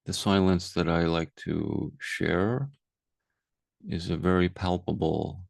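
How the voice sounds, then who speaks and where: A man guiding a meditation as a teacher, speaking calmly and unhurriedly with long pauses. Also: deep voice, vocal fry, no echo, clean studio sound. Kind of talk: guided meditation, unscripted.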